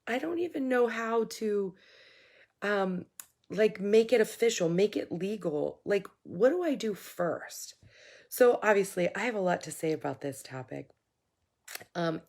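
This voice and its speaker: Woman, hoarse, lowish gravelly voice; midwife host, casual frank live-stream talk. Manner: chatty, frank, coaching tone with fillers.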